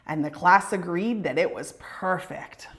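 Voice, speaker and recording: A woman reading a children's picture book aloud in an expressive, emphatic way meant for young children. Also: fairly low pitch, unhurried. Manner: animated, emphatic storytelling aimed at young children. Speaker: read-aloud presenter for elementary students.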